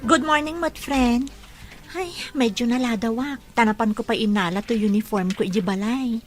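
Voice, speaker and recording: A woman's voice: a little high, normal speed, natural voice. A woman playing a principled, devout young woman in an audio drama, with a Filipino accent. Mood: bright, defensive.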